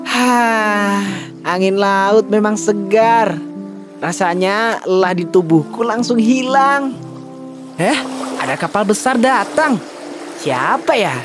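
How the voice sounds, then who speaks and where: A woman's voice: mid-range voice, slow pace, long pauses, over music, close to an everyday voice. A woman playing a skinny, cheerful, curious young man in a children's story, with a wide-eyed, upbeat manner.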